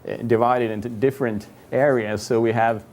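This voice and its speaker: A man's voice: mid-range voice, fairly even intonation, normal speed, a little mellow. A man in his thirties with a Swedish accent gives a conference talk in a hall, hesitant with many fillers and restarts and wry asides. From Sweden. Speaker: conference presenter. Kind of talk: conference presentation.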